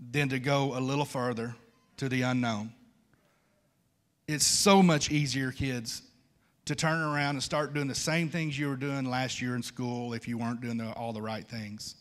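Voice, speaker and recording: A man's voice: medium pitch, a bit bright. A man, preaching an informal, conversational sermon and repeating phrases for emphasis. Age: 50s. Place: large church stage at a wooden pulpit.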